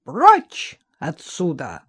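A woman's voice: on the low side, sing-song, a little slow. Woman playing a furious, barking older man. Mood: angry. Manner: harsh, sarcastic, shouting.

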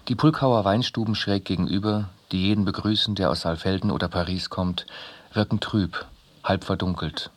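A man's voice: deep voice, steady tone, normal speed. A man reading literary prose as a narrator in a radio drama.

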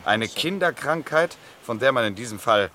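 An actor playing a good-humoured middle-aged count and husband in a literary radio drama, with urbane, formal period diction and wry amusement. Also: male voice, medium pitch, lively intonation, moderate pace, pushed and bright. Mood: amused.

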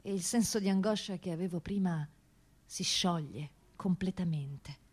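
A woman narrating a first-person radio theatre monologue in a reflective, theatrical way with long pauses. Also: lowish voice, darkish tone, slightly rough. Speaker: actor-author narrating a monologue.

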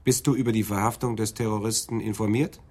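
An actor playing a senior police detective in a radio play, pointed and matter-of-fact. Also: male voice, lowish voice, steady tone, fast talker.

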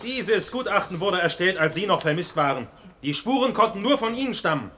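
An actor playing a combative, indignant defence lawyer in a radio play, arguing emphatically. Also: male voice, high voice, lively intonation, a little fast, bright, forceful tone, slight background noise. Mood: argumentative. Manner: argumentative, emphatic. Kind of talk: German radio play acting.

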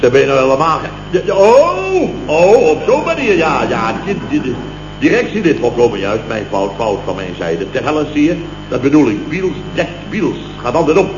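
An actor playing a blustering, sarcastic boss of a building firm in a vintage radio comedy, repeating himself indignantly. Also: male voice, a little high, sing-song, moderate pace, over music. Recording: muffled, noisy, echoey old radio recording.

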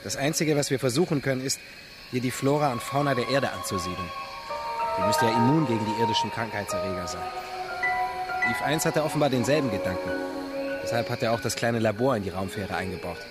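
Man acting as impulsive stranded astronaut, mid-range voice, halting excited delivery, radio play, loud music underneath. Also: medium pitch, natural voice. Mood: sober. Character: brash, impulsive male space traveller stranded on alien planet. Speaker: radio drama actor. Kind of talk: German radio drama acting.

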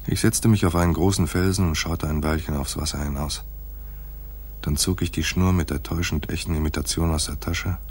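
An actor playing a laconic, world-weary hardboiled private detective in a radio play, speaking in a terse, deadpan manner. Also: male voice, a bass voice. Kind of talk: German radio play acting with noir first-person narration.

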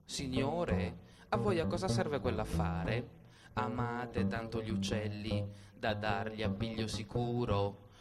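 A man playing a brash, mocking heckler on stage, loud, taunting and declamatory. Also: fairly low pitch, slow pace, loud music behind the voice. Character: brash, mocking heckler jeering at an enormous nose. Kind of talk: stage monologue theatre.

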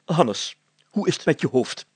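A man's voice: fairly high pitch, lively intonation, a little slow, breathy edge, no echo, full character voice. A man voicing a bossy, hearty village mayor in a storybook, commanding and pompous, declaiming his lines.

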